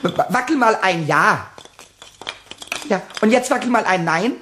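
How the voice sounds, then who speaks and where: A mischievous little kobold with a high, squeaky, giggly voice. He swoops all over his range in a slow, stammering, childish way. It is a vivid radio play character. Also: expressive, sharp tone, slight background noise, full character voice. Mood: playful. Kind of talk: 1960s radio play acting.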